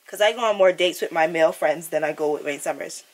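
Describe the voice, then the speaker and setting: A woman's voice: low-pitched, quick pace. A young woman in her twenties chatting casually to viewers in a vlog, laughing often, recorded on a camera mic at arm's length. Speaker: vlogger. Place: small bedroom and outdoors on city streets. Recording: camera audio with clear background noise.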